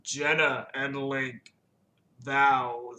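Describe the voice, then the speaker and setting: A woman voicing an ancient tree spirit who speaks slowly and ceremoniously in archaic phrases with a Southern US accent. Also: a bass voice, flat delivery, sharp tone, voice pushed down, heavily acted. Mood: solemn, grand. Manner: slow, ceremonious, archaic speech. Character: great ancient tree spirit, slow and solemn. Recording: roomy, echoing indoor sound.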